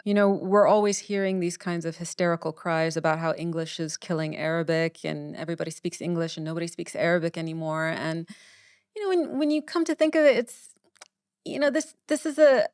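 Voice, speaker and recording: Smooth-voiced woman writer, close and dry. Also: lowish voice, a little fast, a little mellow, no room sound. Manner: thoughtful, repeats words while forming ideas. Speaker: writer, podcast guest. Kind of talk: candid conversational interview.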